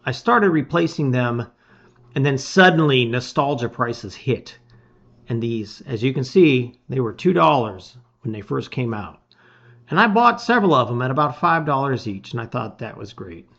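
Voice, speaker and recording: Middle-aged man, medium pitch, read-aloud host, emphatic delivery, soft phrase endings, slightly muffled lo-fi audio. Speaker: host reading a gamebook aloud. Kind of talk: casual intro and dramatic read-aloud of storybook narration. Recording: slightly dull, lo-fi sound.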